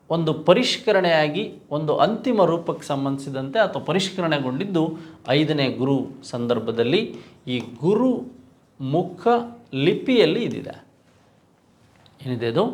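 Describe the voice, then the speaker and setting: Higher-pitched, creaky Karnataka male exam coach. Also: expressive, measured pace, pauses often. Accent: Kannada, Karnataka. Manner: didactic, repeats key points, asks rhetorical questions. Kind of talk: lecture, reviewing quiz questions.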